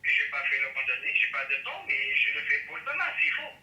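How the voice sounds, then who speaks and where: A man in a casual phone conversation as a private seller, halting and defensive, repeating himself. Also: medium pitch, very animated, muffled recording, heavy background noise. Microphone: phone call heard through speaker. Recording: echoey distant speakerphone.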